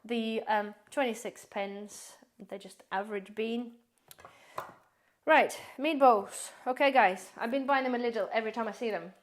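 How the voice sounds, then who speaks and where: Chatty woman vlogger with a slightly higher voice. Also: normal speed. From based in the UK. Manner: chatty and rambling, addresses viewers as guys. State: slightly hoarse, losing her voice. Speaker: prepper vlogger. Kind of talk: casual grocery haul vlog.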